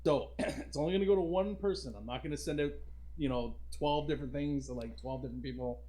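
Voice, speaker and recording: A man's voice: on the high side, fast, dark tone. A man hosting a casual livestream podcast, talking informally and addressing his viewers as guys. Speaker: podcast host.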